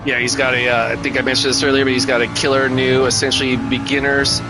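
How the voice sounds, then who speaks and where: A man's voice: mid-range voice, flat delivery, fast talker, rough recording. A middle-aged man, tired and losing his voice, chatting casually and rambling while he races, saying "man" a lot. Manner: laid-back, rambling, says "man" a lot. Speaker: sim racing streamer. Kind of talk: casual chat while racing. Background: loud music.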